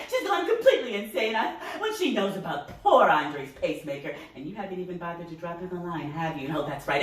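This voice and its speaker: A woman's voice: medium pitch, fairly bright tone. A woman playing an aggrieved, self-pitying, domineering older mother in a theatrical monologue, indignant and guilt-tripping. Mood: martyred. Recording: roomy echo, distant microphone.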